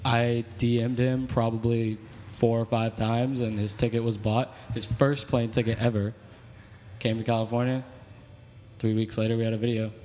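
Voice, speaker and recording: A man's voice: medium pitch, level tone, moderate pace, dark tone, long reverb tail, a little hiss or hum. A man co-hosting a studio interview, telling stories in a dry, matter-of-fact way. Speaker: co-host. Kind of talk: casual interview.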